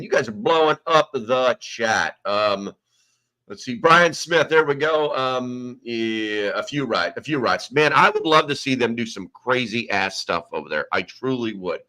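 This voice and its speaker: American man host, bright rambling chat. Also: medium pitch, expressive, measured pace. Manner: rambling, reads chat names aloud. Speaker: livestream host. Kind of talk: casual livestream chat. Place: sparse, nearly empty room. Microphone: small desk microphone.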